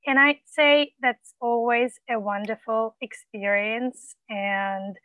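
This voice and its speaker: Woman mentor giving measured coaching feedback. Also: on the high side, steady tone, dry recording, narrow, tinny audio. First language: not English. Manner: supportive, measured, frequent ums.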